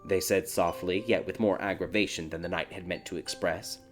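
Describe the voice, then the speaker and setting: A man narrating a dark fairy tale audiobook in a dramatic, scripted storytelling style. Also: low voice, steady tone, fairly quick. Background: background music.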